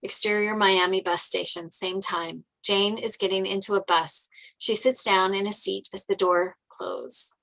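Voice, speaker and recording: A woman's voice: medium pitch, fairly even intonation, measured pace, gravelly, hardly any pauses, bright, forceful tone, low-quality recording. A woman reading stage directions as scripted narration at a table read.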